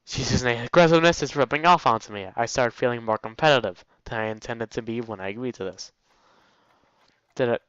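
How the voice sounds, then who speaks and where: A man with a clear, smooth voice hosts a let's play, reading text casually and tripping over words as he goes. He drops in his own offhand asides. The sound is dry and a little dull. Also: mid-range voice, a little fast, a bit bright, no echo. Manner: stumbles over words, chuckles, breaks off into asides. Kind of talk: casual read-aloud game commentary.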